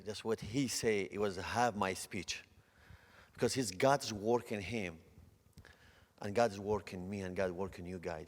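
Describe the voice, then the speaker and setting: Kurdish-accented man giving testimony in hall. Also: on the low side. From Northern Iraq. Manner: broken English, earnest, halting restarts. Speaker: guest speaker. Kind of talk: church testimony. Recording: long church hall reverb.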